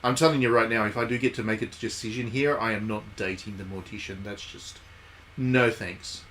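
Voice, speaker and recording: Male streamer, lowish voice, casual playthrough commentary, dry chatty asides, slight background noise. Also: steady tone, brisk, a bit bright. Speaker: game streamer, host. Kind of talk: casual live playthrough commentary, reading game text aloud.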